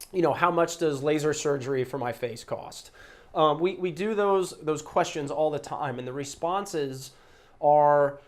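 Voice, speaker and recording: Man giving an emphatic business sales pitch. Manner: emphatic, conversational sales pitch with rhetorical questions. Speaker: host and practice-management trainer pitching a service. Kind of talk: promotional explainer to camera or listener.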